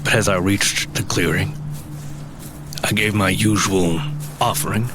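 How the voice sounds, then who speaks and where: Give the listener a reticent fantasy mushroom forager from an audio drama. His voice sits very low and he speaks haltingly, feeling for his words. Music plays clearly behind him. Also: fairly even intonation. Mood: uneasy storytelling. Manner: hesitant, halting, not much for talking. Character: shy, halting male mushroom forager and craftsman in a fantasy world.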